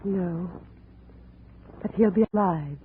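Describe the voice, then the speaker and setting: An actress playing a brooding, anguished young wife in a 1950s radio drama, speaking in a formal, halting way. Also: female voice, lowish voice. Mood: wistful, insistent. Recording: muffled, noisy 1950s radio recording.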